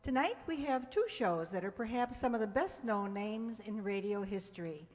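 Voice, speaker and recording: An older woman, around her seventies, introduces a show from a script. Her pitch is average and her delivery measured with dry asides, carried through a stage microphone into a reverberant hall. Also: animated, a little mellow. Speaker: presenter introducing a stage radio play. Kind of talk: scripted introduction read to an audience. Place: stage in a community hall, indoors. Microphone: handheld-style microphone on a stand.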